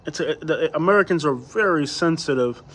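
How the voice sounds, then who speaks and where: A man's voice: fairly high pitch, moderate pace, a bit bright. A man from Cuba, a native Spanish speaker, hosting a livestream with casual, conversational chat full of rhetorical questions. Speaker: livestream host. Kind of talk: casual chat, answering live viewer comments.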